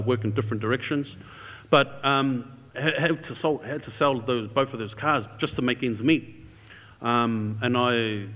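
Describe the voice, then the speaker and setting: A man with a New Zealand accent speaks to a congregation in a big, reverberant hall. His pitch is average for a man. He talks informally and conversationally, feeling his way through a personal story as a visiting speaker. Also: a bit bright. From New Zealand, grew up in Auckland. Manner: casual, conversational, hesitant phrasing with tag "eh". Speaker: guest speaker for a charity. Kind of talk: informal church talk, sharing a personal story. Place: large indoor church hall. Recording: echoey hall with long reverb.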